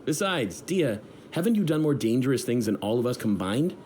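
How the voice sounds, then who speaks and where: An actor playing a jokey, lively mercenary guy. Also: mid-range voice, brisk, slight background noise, lightly acted. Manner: laughing, jokey. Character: joking, flirty male mercenary. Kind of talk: video game cutscene dub.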